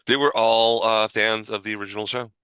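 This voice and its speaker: A man co-hosting a casual fan discussion in a halting, self-correcting way, as if thinking aloud. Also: lowish voice, little pitch movement, sharp tone, clear, resonant tone, no echo. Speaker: podcast co-host.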